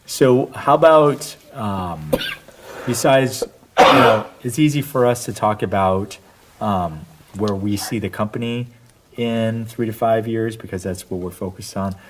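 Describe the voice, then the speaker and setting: A middle-aged man hosting a casual family interview, relaxed, with a slightly raspy voice and a habit of trailing off softly. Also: lowish voice, a little slow, background noise. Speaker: host.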